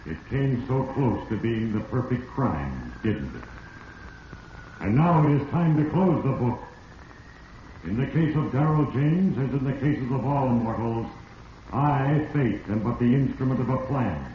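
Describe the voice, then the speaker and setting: A man's voice: mid-range voice, expressive, measured pace, slight background noise, lightly acted. Man acting as stern omniscient Fate, portentous radio mystery narrator, cavernous distant echo, muffled vintage recording. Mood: solemn judgment. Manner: solemn, portentous second-person address. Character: Fate, grave omniscient male narrator passing stern judgment.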